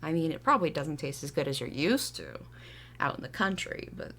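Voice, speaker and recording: A woman acts a casual modern city friend in an audio drama. She chats informally in a lowish, animated voice. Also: a little fast, slight background noise, exaggerated voice. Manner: casual, chatty.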